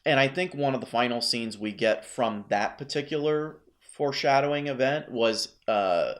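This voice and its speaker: A man's voice: medium pitch, normal speed, fairly bright tone. A man co-hosting a casual TV review chat, enthusiastic and rambling, with lots of ums and restarts. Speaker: co-host of a TV review show.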